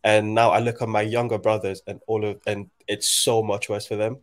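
A man in his late twenties, raised in Nigeria, speaks in a fairly low voice. He talks thoughtfully and haltingly, restarting his sentences as he works through his ideas in a relaxed conversation. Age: 20s. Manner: reflective, halting, many restarts. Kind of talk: casual conversation.

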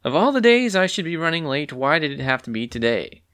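A frantic young hen bard squawks in a high, shrill voice, as a man puts her on. She stammers and rushes through her excuses, loud and flustered like a storybook character. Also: sing-song, clean tone. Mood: dismayed.

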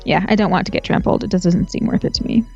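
A woman's voice: fairly low pitch, fast, creaky, darkish tone, slightly rough, lo-fi sound, faint music behind. A woman chatting casually as a podcast guest, giggly, self-mocking and free with swearing. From Canada. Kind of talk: casual chat.

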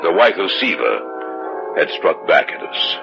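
An actor playing a haunted, fearful adventurer who recounts a deadly curse in grave, deliberate old-time radio style. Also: male voice, low-pitched, big pitch swings, gravelly, over loud music, full character voice. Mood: ominous, haunted. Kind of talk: old-time radio drama acting, suspense narration. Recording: muffled, very noisy old radio recording.

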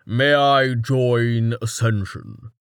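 A man voicing a huge armored golem warrior who talks bluntly and flatly in slow, clipped lines. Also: medium pitch, smooth, no room sound, clean studio sound.

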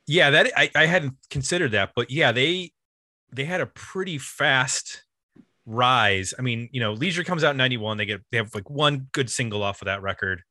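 A man hosting a casual music discussion, thinking aloud in a halting, conversational way. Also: mid-range voice, expressive, pushed and bright, no room sound, clean studio sound. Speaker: podcast host.

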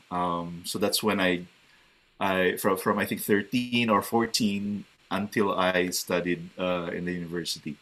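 A man's voice: on the low side, a bit bright. A man in his forties from the Philippines, a guest chatting casually in an interview, hesitant with many fillers and restarts.

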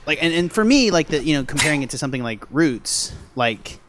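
A man's voice: a little high, sing-song, brisk, noisy background. A man co-hosting a casual film review podcast from home, thinking aloud with frequent restarts and trailing off. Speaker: podcast co-host.

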